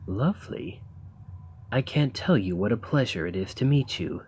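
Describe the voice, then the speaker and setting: A man playing an eager, courteous professor of ancient civilizations in a scripted ASMR roleplay, speaking in a formal, bookish, slightly halting way with a husky voice. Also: on the low side, expressive, a little mellow, a little hiss or hum, lightly acted. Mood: fascinated, polite. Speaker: audio roleplay performer.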